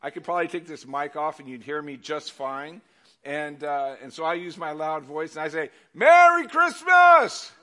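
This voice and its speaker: Older male pastor, loud and animated, bright forceful tone, slightly high voice. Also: moderate pace. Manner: animated, loud storytelling with asides. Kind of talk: sermon.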